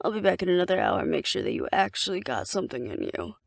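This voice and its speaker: A woman acts out a female alpha werewolf for an audio roleplay, with a lowish voice full of gravelly fry. She is cocky and flirtatious, teasing the listener with wry confidence. The sound is dry and close. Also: big pitch swings, fast, no echo, full character voice. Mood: smug, playful bargaining. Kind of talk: intimate audio roleplay acting addressed to the listener.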